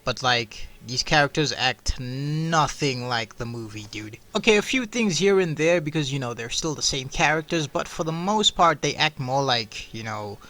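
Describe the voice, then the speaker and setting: A man's voice: medium pitch, animated, normal speed, few pauses, pushed and bright. A man giving a casual, rambling video review, slangy and sweary, peppered with "bro" and "dude". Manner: slangy, profane, says "bro" and "dude" often.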